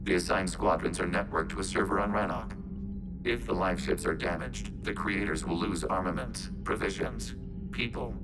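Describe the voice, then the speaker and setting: An actor playing a calm, logical robotic alien intelligence in a video game, with a synthetic filter and formal, measured, literal phrasing. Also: male voice, low-pitched, rough recording. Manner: measured, clipped, formal phrasing, calling itself we. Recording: game music behind the voice.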